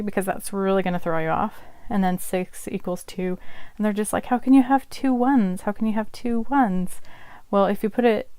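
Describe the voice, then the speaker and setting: A woman's voice: mid-range voice, darkish tone, no room sound. A young woman whose first language is Polish, chattily walking through a homemade puzzle project in a casual tutorial. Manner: chatty, explanatory, occasional laughs. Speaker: craft vlogger.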